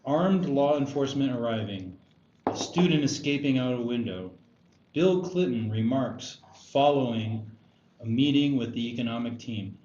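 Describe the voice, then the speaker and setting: A man's voice: mid-range voice, expressive, moderate pace, mellow. Man reading stage directions, echoey room. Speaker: stage-direction reader at a screenplay table read. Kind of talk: scripted narration. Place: roomy indoor table read. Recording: echoey room, dull sound, faint noise.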